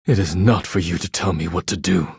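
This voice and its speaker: A man playing a proud, thin-skinned poetic living dish in a video game, speaking in a formal, deliberate, grave manner. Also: deep voice, gravelly, breathy edge, dry recording, clean studio sound, heavily acted. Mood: wounded, cold. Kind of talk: video game character acting.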